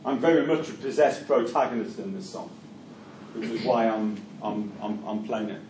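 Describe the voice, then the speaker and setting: A middle-aged man with a Geordie accent lectures to a class, his voice dark and mellow. He stumbles and repeats words as he thinks aloud. The mic sits far off in an echoey room with faint noise. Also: on the low side, fairly even intonation, moderate pace. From Newcastle, England. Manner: hesitant, repeats words, many fillers. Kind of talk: university lecture with class discussion.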